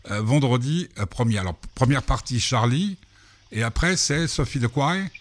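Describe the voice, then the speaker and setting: A man's voice: mid-range voice, expressive, moderate pace. A man hosting a casual radio interview, teasing his guests and rambling with frequent repetitions. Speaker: radio host.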